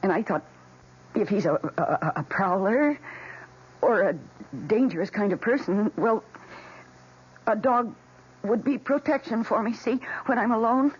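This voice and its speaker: An actress with an American accent playing a lonely, timid middle-aged housewife in a radio drama, pleading hesitantly and earnestly. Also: female voice, mid-range voice, a little slow, long pauses, husky. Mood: nervous, hesitant. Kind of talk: vintage radio drama acting. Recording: dull, noisy old radio recording.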